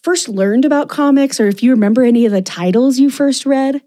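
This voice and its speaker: A woman's voice: high-pitched, brisk, warm tone. A woman hosting a comics podcast, playful and effusive, introducing her guest. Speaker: podcast co-host. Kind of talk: casual podcast chat.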